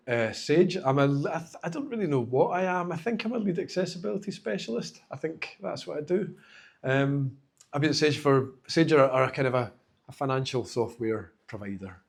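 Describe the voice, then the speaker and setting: Chatty Glaswegian man giving a talk. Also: mid-range voice. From Scotland. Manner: self-deprecating, chatty, frequent restarts. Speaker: conference speaker. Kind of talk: informal conference talk. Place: meetup venue.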